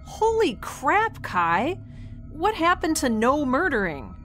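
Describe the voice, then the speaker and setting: A woman playing a quirky, excitable young woman in a space audio drama, chatty, wry and rambling. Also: high voice, slow, over music, exaggerated voice. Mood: shocked.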